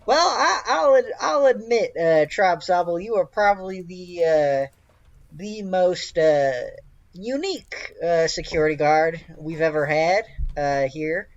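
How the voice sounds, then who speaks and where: Man playing a halting, roguish halfling boss. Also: sits high, no room sound, dull audio. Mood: encouraging. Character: roguish muscular halfling ringleader, encouraging boss.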